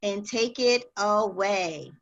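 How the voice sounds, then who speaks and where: Woman moderator talking real slow, muffled noisy line. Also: mid-range voice. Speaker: panel moderator.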